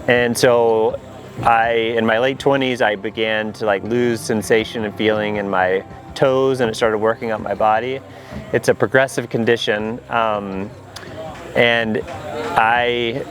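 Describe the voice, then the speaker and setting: A man, an adaptive paraglider pilot, speaking plainly and matter-of-factly in a documentary interview. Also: mid-range voice.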